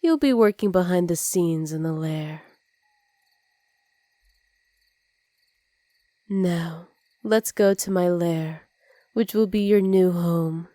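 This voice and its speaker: A woman playing a dominant, possessive villain who dotes on a captive, softly menacing and teasing in an ASMR roleplay. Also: on the low side, measured pace, no echo, clean studio sound, close to an everyday voice. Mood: smug, affectionate. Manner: soft, teasing menace with breathy whispered endearments.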